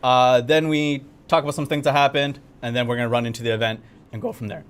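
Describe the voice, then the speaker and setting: A young man co-hosting a live game event stream, upbeat, talkative and easily excited. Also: mid-range voice, fast, clear, resonant tone. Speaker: game community manager. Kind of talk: casual livestream co-hosting. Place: studio in Korea.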